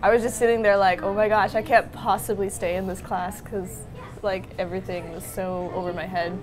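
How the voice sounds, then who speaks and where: A woman in her twenties, a former exchange student, talking in a relaxed, chatty way. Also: mid-range voice, a little fast, faint music behind. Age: 20s. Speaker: former study abroad student. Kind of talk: casual interview talk. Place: small shop, indoors.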